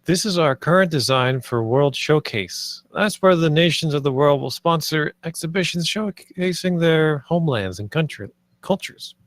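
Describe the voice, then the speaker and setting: Man acting an earnest, higher-voiced project leader. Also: lively intonation, normal speed, hardly any pauses, no echo, close to an everyday voice. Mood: polite, presenting. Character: earnest male theme park project leader, polite, protective. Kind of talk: script table read.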